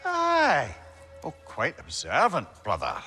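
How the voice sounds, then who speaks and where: An actor playing a clever, genial old advisor, courtly and glib, in a video game. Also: male voice, a little high, huge pitch swings, slow, pushed and bright, long reverb tail, faint music behind, heavily acted. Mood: placating. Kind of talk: cinematic video game acting.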